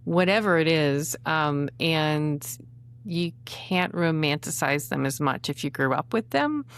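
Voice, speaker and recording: A woman's voice: low-pitched, animated, measured pace, clear, resonant tone. A woman speaking as a guest poet in a casual literary interview, informal and conversational. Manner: informal, frequent "like" as emphasis and hedge.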